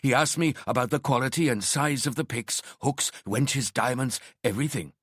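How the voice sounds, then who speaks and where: A man's voice: medium pitch, moderate pace, a bit bright, no room sound, clean studio sound, natural voice. A man voicing a courteous, talkative Indian shopkeeper in his sixties for an audiobook, with an Indian accent. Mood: knowing, talkative. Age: 60s. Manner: deferential, talkative, proud. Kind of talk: audiobook character acting.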